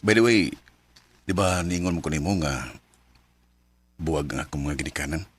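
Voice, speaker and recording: An actor playing an eager, devoted young suitor in a Filipino radio drama, speaking earnestly and coaxingly with a Filipino accent. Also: male voice, rumbling low, moderate pace, breathy edge, dry recording. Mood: affectionate.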